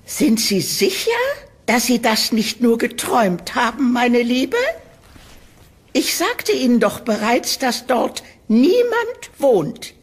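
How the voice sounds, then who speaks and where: A woman playing a condescending older landlady in an audio horror drama, sugary and dismissive, with a patronising, drawn-out delivery. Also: fairly high pitch, animated, breathy edge, heavily acted.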